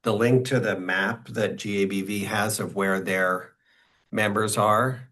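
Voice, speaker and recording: A man's voice: lowish voice, fairly even intonation, a little slow, sharp tone. A man as guest speaker answering questions informally on a video call, often repeating words and saying "like" and "you know".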